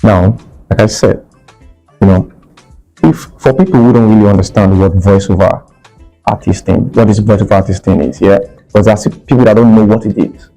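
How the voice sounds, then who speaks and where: Man, low gravelly voice, warm dark tone, rambling questions, faint music behind. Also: a little fast, poor audio. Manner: rambling questions, frequent fillers. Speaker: show host. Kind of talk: interview conversation.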